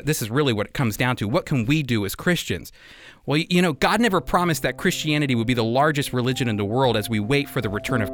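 A man's voice: on the high side, a bit bright, no echo, studio quality. An American man hosting and interviewing on a radio program, speaking as a presenter. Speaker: radio show host.

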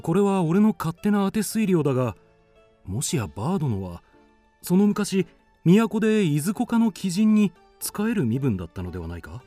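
A brave young warrior in a period radio drama. He talks in earnest, archaic phrases with very animated intonation. The recording is close and dry. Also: high voice, normal speed, no room sound, soft background music. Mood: earnest, curious. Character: brave, earnest young male samurai of early Heian Japan.

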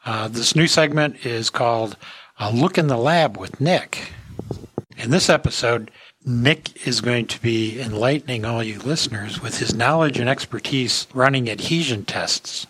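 A man's voice: medium pitch, a little slow, fairly bright tone, a little hiss or hum, low-quality recording. A man hosting a podcast with casual industry commentary, speaking to listeners in a folksy way. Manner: folksy, addressing listeners as friends.

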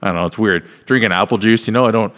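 A man co-hosting a podcast in casual chat, easygoing and quick to agree. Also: on the low side, fairly bright tone, long reverb tail. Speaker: podcast co-host.

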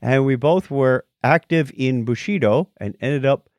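A man's voice: mid-range voice, measured pace, darkish tone, no echo. A man co-hosting a podcast with casual, joking banter and readings of listener messages. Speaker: podcast co-host.

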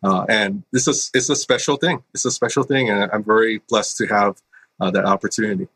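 A middle-aged man from Hawaii, a school principal, answering interview questions in an earnest, halting way with many fillers. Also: on the low side, steady tone, fairly bright tone, dry recording.

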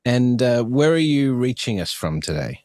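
A man's voice: medium pitch, very animated, normal speed, a little mellow, no room sound, studio quality. A man hosting an interview podcast in a conversational, discursive way. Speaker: podcast host.

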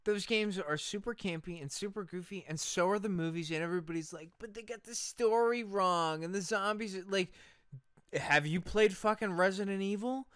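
A man's voice: sits high, normal speed, a bit bright, smooth, no echo, clean studio sound. A man co-hosting a podcast, chatting casually and ranting in an emphatic, profane, argumentative way. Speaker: podcast co-host. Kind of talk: casual chat, opinionated ranting.

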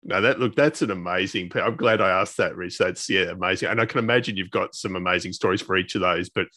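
Low-voiced man interviewing, dry sound. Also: fast, sharp tone, no echo. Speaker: podcast host, interviewer. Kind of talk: casual interview chat.

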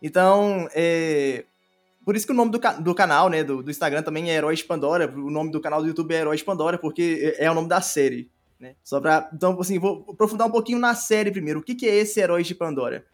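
A Brazilian man, a podcast guest explaining his creative work in an informal, halting way. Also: on the high side. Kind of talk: casual interview chat. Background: faint music.

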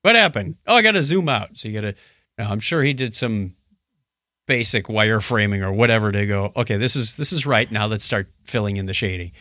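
A middle-aged or older man hosting a casual tech talk show, chatting conversationally and thinking out loud as he goes. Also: lowish voice, brisk, dry recording, clean studio sound. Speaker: podcast host.

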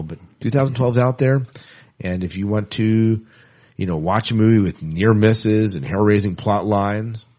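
A middle-aged man hosting a podcast, talking casually and with clear opinions about movie news. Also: fairly low pitch, a little mellow. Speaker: podcast host.

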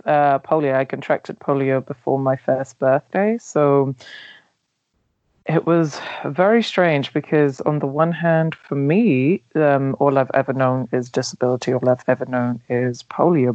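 A woman's voice: sits low, darkish tone, no echo. Woman in her 30s, London accent, hesitant interview answers, dry muffled sound. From Nigeria. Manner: hesitant, many ums, thoughtful. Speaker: guest, travel blogger. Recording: dull dry recording.

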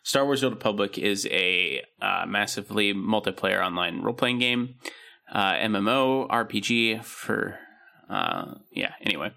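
A young man with a low, gravelly voice and a bright, edgy tone talks through a topic in a loose, casual way. He leans on plenty of fillers as he explains. It sounds like relaxed podcast chat between friends. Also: normal speed. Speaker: podcast co-host.

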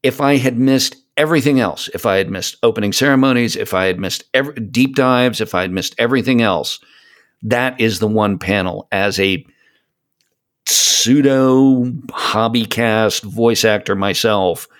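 A man's voice: lowish voice, unhurried. A man in a casual podcast conversation, speaking emphatically and repeating phrases to stress his point. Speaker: podcast guest, hobby voice actor.

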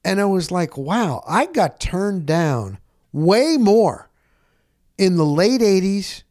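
A middle-aged man with a fairly high-set voice, recorded dry without room sound. He tells stories like an off-duty sportscaster, rambling and candid. His delivery is animated and salty, and he repeats phrases to drive them home. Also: takes it slowly, no room sound. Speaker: sportscaster and podcast host. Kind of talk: casual rambling storytelling.

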